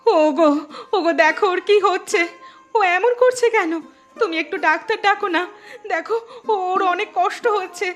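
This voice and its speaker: A woman voicing a sharp-tongued, protective mother in a Bangla cartoon. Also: very high voice, normal speed, faint music behind. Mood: panicked.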